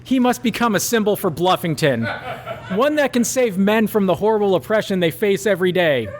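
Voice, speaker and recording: A man's voice: high-pitched, expressive, brisk. A man reading a raunchy comic story aloud at a live show, with mock-dramatic narration.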